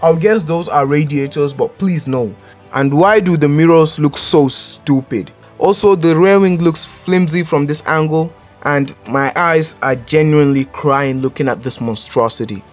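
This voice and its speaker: A man's voice: on the high side, measured pace, faint music behind. A man with a Nigerian accent, hosting a casual countdown and giving blunt, joking opinions as a voice-over. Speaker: channel host. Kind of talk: casual list commentary.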